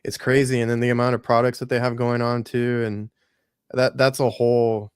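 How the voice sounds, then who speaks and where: A man's voice: medium pitch, level tone, moderate pace, clean tone, no room sound, clean studio sound. A man in his mid-thirties hosting a podcast, easygoing and casual, often repeating words as he thinks. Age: 30s. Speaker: podcast host.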